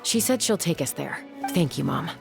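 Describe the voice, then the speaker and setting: Earnest, low-voiced young protector. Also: female voice, expressive, creaky, over loud music. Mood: concerned. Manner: serious, measured, resolute. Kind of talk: anime-style video game voice acting.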